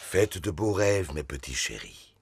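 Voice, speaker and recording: A man's voice: very deep voice, big pitch swings, a little slow, creaky, noisy background, heavily acted. A man voicing a fond father pig reading bedtime stories in a children's cartoon. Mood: tender.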